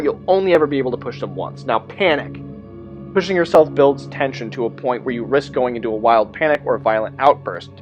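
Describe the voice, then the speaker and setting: A man hosting a tabletop game rules explainer, partly reading aloud and adding informal asides in an explanatory way. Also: on the high side.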